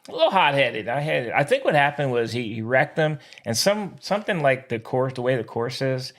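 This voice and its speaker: A man's voice: medium pitch, big pitch swings, a little fast, a bit bright. A man co-hosting a sports podcast in casual, joking banter, with a playful, slangy, exclamatory way of talking.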